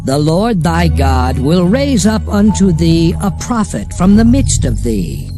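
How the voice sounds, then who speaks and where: A man playing a solemn prophet proclaiming divine law in a dramatized King James scripture reading, measured and oratorical. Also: a little high, very animated, music in the background, full character voice.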